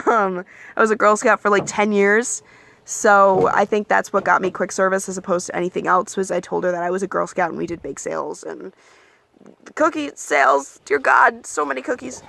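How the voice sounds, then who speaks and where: Bright, gravelly-voiced young woman vlogging. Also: medium pitch, moderate pace. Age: young adult. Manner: chatty and candid, lots of ums and likes; calls her own voice monotone. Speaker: vlogger giving application advice. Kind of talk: casual advice vlog.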